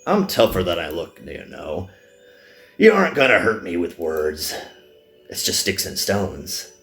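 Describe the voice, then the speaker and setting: A man's voice: sits low, very animated, measured pace, fairly bright tone, soft background music, pitched below the performer's own voice, heavily acted. A man reading a reluctant, self-deprecating young male magician recruit from a visual novel, dry and downbeat. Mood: reassuring.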